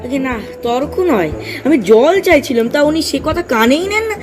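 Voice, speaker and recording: Parched, exasperated traveller for comic audio drama, polite and pleading, high voice acted, music underneath. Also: lively intonation, normal speed. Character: weary, thirsty male traveller, polite but exasperated. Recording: music behind the voice.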